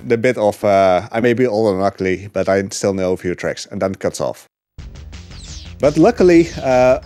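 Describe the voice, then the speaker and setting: A man's voice: medium pitch, darkish tone. A young man chatting casually while speedrunning a game, full of fillers and small laughs. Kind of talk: casual commentary while gaming. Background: game music.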